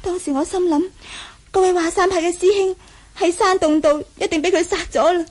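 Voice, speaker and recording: A woman's voice: very high voice, fairly even intonation, brisk. A woman acting in a Cantonese radio drama from Hong Kong, voicing a timid, earnest, naive young nun. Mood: sorrowful recounting. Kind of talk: 1980s martial-arts radio drama acting.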